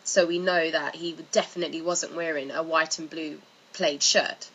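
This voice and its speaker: A woman's voice: sits low, fairly even intonation, normal speed, a bit bright, muffled recording. A woman giving informal explanatory commentary in a casual, loosely phrased way, as a video narrator. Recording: faint background noise.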